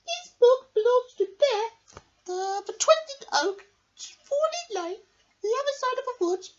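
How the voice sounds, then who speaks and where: Guy putting on a squeaky little mouse girl, high and bouncy, kinda muffled recording. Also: slow pace, heavily acted. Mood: excited. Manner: squeaky, excited. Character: tiny eager female mouse, squeaky and excited. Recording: dull lo-fi recording.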